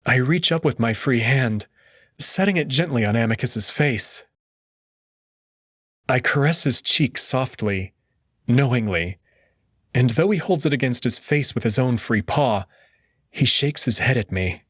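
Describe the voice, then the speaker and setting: A man narrating a first-person visual novel story with expressive engagement, then giving his own commentary, over music. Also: medium pitch, measured pace, pauses often, breathy edge, no echo, clean studio sound. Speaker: visual novel reader and host.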